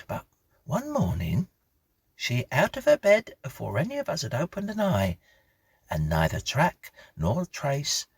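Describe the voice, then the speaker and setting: A man's voice: fairly low pitch, vocal fry, fairly bright tone, slightly rough, dry recording, pitched above the performer's own voice, heavily acted. A man voicing a comfortable, chatty country housekeeper woman in her fifties, gossiping in rambling rustic phrases for a storybook.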